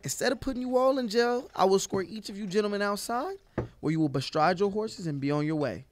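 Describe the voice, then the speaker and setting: An actor playing a nervous, earnest and wordy young deputy in a Western audio play. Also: male voice, sits high, fast, a little hiss or hum, natural voice. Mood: earnest, resolute. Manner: hesitant, stammering.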